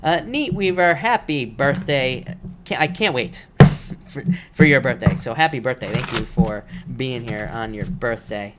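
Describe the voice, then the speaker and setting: A man with a voice made rough by allergies, reading tarot cards on a live stream in a casual, repetitive way with many fillers. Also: medium pitch, a little slow, very noisy. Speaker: tarot reader.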